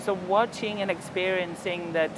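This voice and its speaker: An older man from Poland, a diplomat speaking in a television interview in a reflective, measured way with frequent hesitations. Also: sits high, steady tone, background noise. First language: Polish. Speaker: ambassador.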